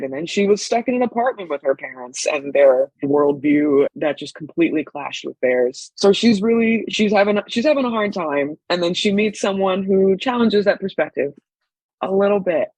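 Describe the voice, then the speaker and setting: A woman's voice: lowish voice, a little mellow, husky, no echo, low-quality recording. A woman in her twenties with a Californian accent, chatting casually as an interview guest and telling stories informally. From San Francisco Bay Area, United States. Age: 20s. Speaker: guest, stage actor and arts educator.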